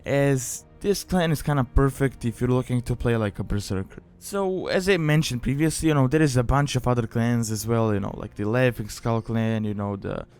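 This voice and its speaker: A man's voice: medium pitch, moderate pace, hardly any pauses, darkish tone, clean tone, soft background music. A man giving a casual, explanatory tutorial voice-over as a video host, rambling and leaning often on filler phrases. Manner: rambling, peppered with "you know" and "like". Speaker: guide host, gaming video maker.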